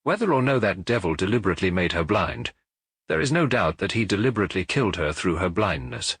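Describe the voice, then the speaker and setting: A man voicing a little priest detective in an audiobook, gentle and earnest as he explains in a measured, lecturing way. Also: low voice, breathy edge, no echo, full character voice. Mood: earnest explaining. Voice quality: gentle, even. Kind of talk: audiobook character dialogue.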